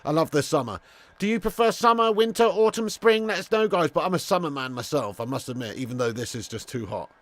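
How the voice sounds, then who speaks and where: A man's voice: a little high, expressive, brisk. A young English man hosting a live football watch-along, chatting casually, swearing, and greeting viewers by name from a room at home. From England. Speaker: host.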